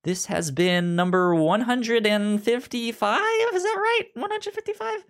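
A man's voice: high voice, animated, unhurried, fairly bright tone. A man co-hosting a podcast in casual chat, informal and speaking straight to the listeners. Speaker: podcast co-host.

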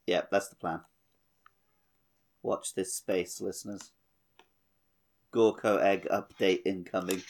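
A man with a fairly low voice, talking casually as a podcast co-host. He explains game details in a wry, understated way, with a dry sense of humour. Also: steady tone, slow pace, long pauses. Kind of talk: casual chat about a video game.

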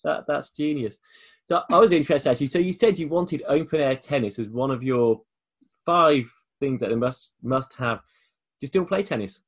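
A man's voice: mid-range voice, normal speed. A man hosting a podcast interview, playful and laughing along with guests. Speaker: podcast host. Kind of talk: conversational interview.

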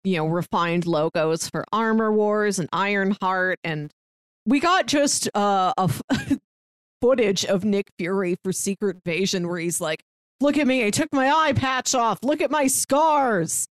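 A woman's voice: medium pitch, expressive, measured pace, no echo. A woman co-hosting a podcast in casual conversation, with a playful, wry way of talking. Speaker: podcast co-host. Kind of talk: casual chat about comics and shows.

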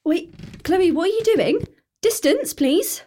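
A woman's voice: very high-pitched, animated, slow pace, dark tone, full character voice. A woman with a British accent playing an anxious, sensible teenage daughter in a naturalistic radio play, earnest and cautious. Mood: alarmed.

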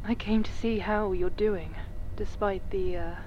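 An actress playing an earnest, worried former therapist in an audio drama, speaking with careful, pleading concern. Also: female voice, medium pitch, steady tone, a little slow, darkish tone, slight background noise, lightly acted. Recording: slightly dull sound, faint music.

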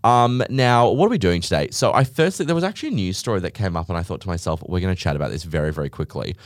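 A man hosts a podcast, and his low voice swings widely as he jokes. He is camp and sweary and laughs through quick banter. The sound is dry and close. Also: animated, dry recording. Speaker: podcast host. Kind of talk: casual chat.

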